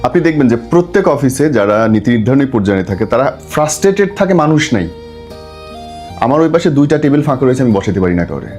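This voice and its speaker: A woman's voice: very deep voice, very animated, fast, darkish tone. A woman with a Bangladeshi accent interviews in a conversational, agreeable way, dropping English words into her speech. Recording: music behind the voice.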